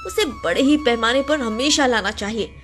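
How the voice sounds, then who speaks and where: A woman's voice: on the high side, lively intonation, normal speed, natural voice. Admiring male designer, voiced by a woman, kindly, for a cartoon tale with music underneath.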